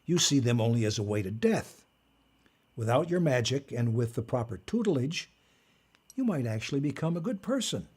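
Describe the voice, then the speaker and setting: A man acting in an audio drama as an old magician and collector, formal, smug and lecturing, with a measured delivery. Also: medium pitch, big pitch swings, darkish tone, husky. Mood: composed, smug. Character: old male magician and artifact collector, commanding, smugly moralizing.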